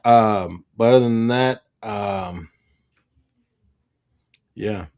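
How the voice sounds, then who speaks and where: A man's voice: fairly low pitch, extremely slow, darkish tone. A tired man hosting a podcast, chatting casually in a rambling way and often backtracking mid-sentence. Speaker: podcast host. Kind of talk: casual chat.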